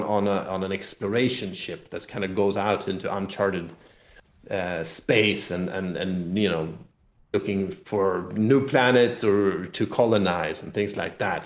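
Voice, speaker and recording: A man with a fairly low voice talks in an echoey room. He explains things casually as an interview guest from a game publisher, with a halting delivery that often doubles back on words.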